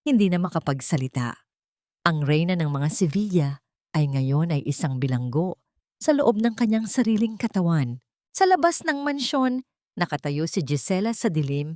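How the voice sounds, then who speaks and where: A woman's voice: medium pitch, darkish tone, no echo, clean studio sound. A woman reading a scripted dramatic story as an audiobook narrator, in a solemn, theatrical manner.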